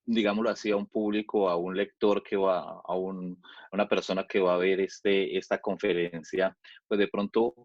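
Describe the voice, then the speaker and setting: A man's voice: sits low, little pitch movement, moderate pace, no echo. A man asking polite, roundabout interview questions in a hesitant way, circling and repeating words. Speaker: interviewer, researcher. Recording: dull, dry lo-fi audio.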